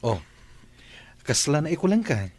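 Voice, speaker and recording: A man playing an assured, attentive middle-aged father and employer in a radio drama, unhurried and in charge. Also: on the high side, very animated, darkish tone, husky. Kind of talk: Ilocano radio drama acting.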